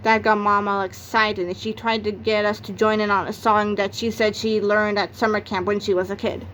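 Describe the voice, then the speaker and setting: A woman's voice: mid-range voice, flat delivery, moderate pace, fairly bright tone, slight background noise, low-quality recording. A woman narrating a read-aloud of a children's comic novel, acting out its exclamations and sound effects. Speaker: book narrator.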